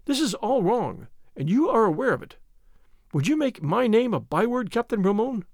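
Man voicing a high-pitched, proud young señorita. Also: sing-song, normal speed, darkish tone, no room sound, studio quality, heavily acted. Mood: firm, indignant. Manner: prim, protesting. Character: proper young señorita, proud, alarmed, indignant.

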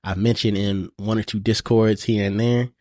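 A man's voice: lowish voice, flat delivery, normal speed, a little mellow, dry recording, clean studio sound. A man co-hosting a podcast, telling stories in a laid-back casual chat full of "bro" and "you know". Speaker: podcast co-host.

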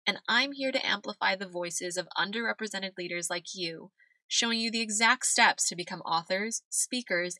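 Bright-voiced woman podcast host. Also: medium pitch, dry recording, rough recording. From California. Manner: warm, conversational, laughs often. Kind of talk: personal storytelling podcast.

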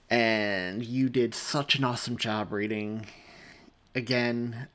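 A man's voice: mid-range voice, fairly bright tone. A man talking casually and effusively to listeners as a podcast host. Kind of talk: casual, appreciative host talk.

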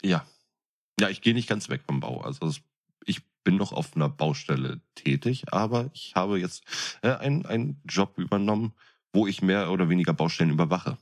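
A man's voice: sits low, expressive, a little fast. A man in his thirties chatting casually as a podcast co-host, with a blunt, colloquial way of talking. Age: 30s. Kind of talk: casual chat.

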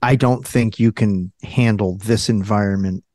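A man's voice: fairly low pitch, fairly even intonation, normal speed, a little mellow, no echo, clean studio sound. A man from New Jersey hosting a casual interview podcast, talking in loose restarts and fillers. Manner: conversational, trailing restarts and fillers. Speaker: podcast host.

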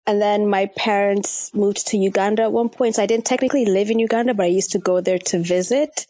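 A woman's voice: medium pitch, fairly even intonation, brisk, mellow, no echo. Candid woman guest, dry close sound. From Kenya and Ivory Coast. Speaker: podcast guest. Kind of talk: casual personal chat.

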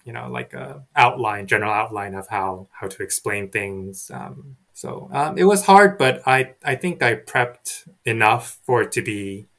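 A young man from Korea, a native Korean speaker, talking as a podcast guest in a soft, hesitant way with many fillers and restarts. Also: medium pitch, expressive, measured pace, vocal fry, hardly any pauses. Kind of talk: casual interview chat.

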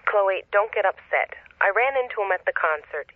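A woman's voice: medium pitch, animated, normal speed, no room sound, natural voice. An actress playing a chatty, placating woman friend on a phone call in an audio drama, speaking expressively. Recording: dry, dull, lo-fi telephone-call audio.

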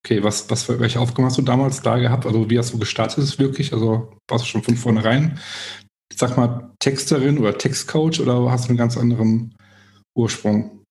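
A man's voice: mid-range voice, fairly even intonation, fairly quick, a little mellow. A man hosting a podcast in a conversational interview style, feeling his way through questions with repeated words. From Essen, Germany. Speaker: podcast host.

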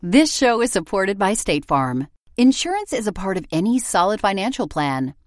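Woman reading a lively sponsor spot. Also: mid-range voice, moderate pace, no echo. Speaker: ad reader. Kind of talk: scripted sponsor read.

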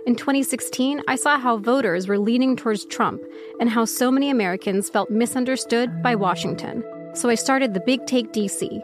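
Higher-pitched woman promoting a podcast over music. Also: normal speed. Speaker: podcast host and journalist. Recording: clear music bed behind voice.

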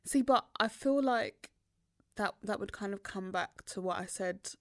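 A woman's voice: medium pitch, unhurried, dry recording. Candid young British woman, dry mic. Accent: British English. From Nigeria heritage, raised in Britain. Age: young adult. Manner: candid, animated storytelling. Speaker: podcast co-host. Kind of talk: casual podcast chat.

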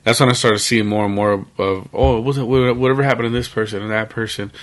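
A man in his late twenties chats as a guest on a podcast. He rambles and leans on fillers like "like" and "bro", and he swears a lot. His voice sits somewhat low. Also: steady tone, fast. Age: 20s. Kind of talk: casual podcast chat.

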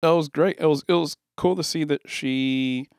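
A man with a Chicago accent co-hosting a podcast in halting, easy-laughing casual chat. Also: on the high side, smooth, no room sound, studio quality. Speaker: podcast co-host.

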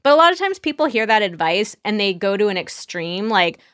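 A woman's voice: mid-range voice, very animated, fairly quick, a bit bright, clear, resonant tone, no room sound, clean studio sound. A woman speaking as a therapist guest on a podcast, giving candid, conversational advice with casual examples.

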